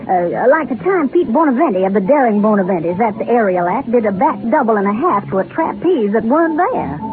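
An actress playing an older, folksy Southern carnival owner who rambles and confides like a storyteller in a 1930s radio drama. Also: female voice, a little high, expressive, fairly quick, natural voice. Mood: confiding. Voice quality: folksy, rural speech. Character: folksy aging Southern carnival show owner, wistful, garrulous woman. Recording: tinny scratchy 1930s radio broadcast. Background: music.

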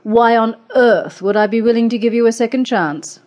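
A woman's voice: on the high side, normal speed, darkish tone, highs cut off, voice pushed up, lightly acted. Woman putting on an icy, commanding businesswoman. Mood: cold. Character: cold domineering woman assessor, cruel and proud.

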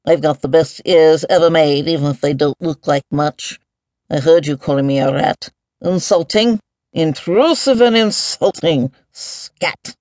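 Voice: mid-range voice, steady tone, a little slow, no room sound, lightly acted. A narrator voicing a grumpy, mumbling giant mole. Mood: indignant. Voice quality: mumbling, liquid. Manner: repetitive, indignant muttering to itself. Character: grumpy muttering giant near-sighted mole, fussy and pompous.

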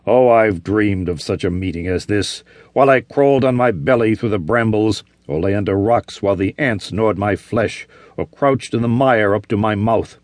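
A man voicing a savage, vengeful barbarian warrior who talks slowly, in a deep, growling voice. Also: a little mellow, no echo, close to an everyday voice. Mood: raging. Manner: slow, growling, furious.

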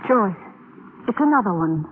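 An actress playing a composed, gracious young widow in an old-time radio drama, polite and earnest. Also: female voice, mid-range voice, lively intonation, moderate pace, close to an everyday voice. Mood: puzzled, wistful. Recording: noisy, tinny old radio recording.

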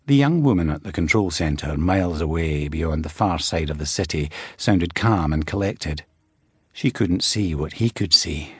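A man reading a thriller as scripted narration, in a measured, dramatic storytelling way. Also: deep voice, lively intonation, breathy edge, no room sound. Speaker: audiobook narrator.

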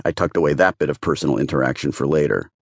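A man's voice: a bass voice, quick pace, creaky, no echo, studio quality. A man narrating first-person fiction as a scripted audiobook reader.

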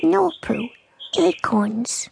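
A small, eager storybook pig, squeaking high with a heavily creaky edge. The little creature speaks slowly and earnestly, ordering its friend about. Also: female voice, expressive, slight background noise, exaggerated voice, low-quality recording. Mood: earnest, hushed. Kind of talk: dramatised children's storybook acting.

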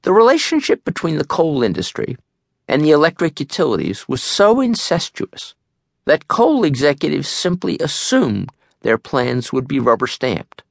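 Man narrating audiobook, lively intonation, dry studio. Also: on the high side, normal speed, creaky, no echo. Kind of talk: scripted nonfiction memoir narration.

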